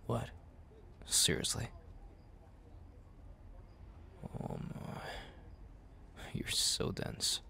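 A man playing a jealous, tender young best friend confessing love in an intimate ASMR roleplay, halting and murmuring with breathy sighs. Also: bright, forceful tone, exaggerated voice. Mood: shy, exasperated.

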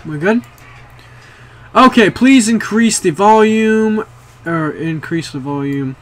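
A young man in a small bedroom, casually commenting on a horror game for viewers, jumpy and excitable, swearing often. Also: high-pitched, slow pace. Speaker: gaming video creator.

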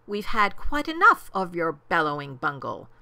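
A woman's voice: lowish voice, huge pitch swings, moderate pace, vocal fry, bright, forceful tone, heavily acted. A woman voicing a big, bossy kangaroo in a children's storybook, snapping and scolding with haughty scorn.

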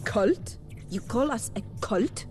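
A woman's voice: on the high side, expressive, takes it slowly, a little mellow, soft background music. Haughty French female cultist. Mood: indignant, lofty. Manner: pompous, mystical, aloof. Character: haughty, serene female cultist, a talking severed head. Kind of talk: video game character acting.

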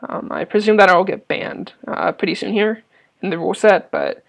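A man's voice: sits high, normal speed, vocal fry. A young man casually explaining a game glitch over his gameplay, hesitating often with ums and restarts. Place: at a computer.

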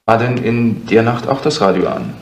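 A man's voice: medium pitch, animated, moderate pace, creaky, echoey room, a little hiss or hum. An actor playing a dutiful police assistant reporting to his chief in a German radio crime drama. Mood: businesslike.

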